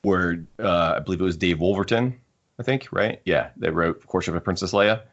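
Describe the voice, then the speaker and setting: A man with a very low voice talks as a podcast co-host. He muses his way through casual fan discussion, looping back to rephrase his points. The recording is slightly dull and lo-fi. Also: normal speed.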